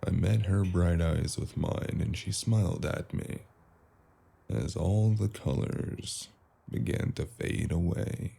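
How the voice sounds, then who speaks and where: A man playing a weary, laconic stranger with a gravelly voice in a noir audio drama. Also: deep voice, slow pace, mellow, a little hiss or hum, full character voice. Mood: brooding.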